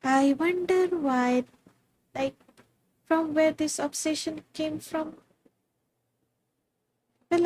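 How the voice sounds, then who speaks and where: A woman's voice: high voice, darkish tone. A woman with an Indian accent giving casual live commentary as a game streamer. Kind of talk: casual live gameplay commentary, mixing Hindi and English.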